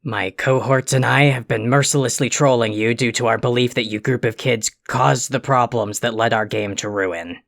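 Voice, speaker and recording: An actor playing an irritable, condescending troll in an audio drama, with a gravelly, abrasive delivery. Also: medium pitch, fairly even intonation, normal speed, hardly any pauses, no room sound, clean studio sound, natural voice. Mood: grudging, curt. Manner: abrasive, condescending, wordy insults. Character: irritable insulting troll boy apologizing grudgingly.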